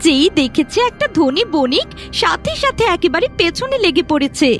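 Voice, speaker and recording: A woman plays a spiteful young stepsister in a fairy-tale cartoon. Her voice is very high and swoops widely as she taunts and scolds, full of jealousy, with music underneath. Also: very animated, normal speed. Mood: jealous, mocking.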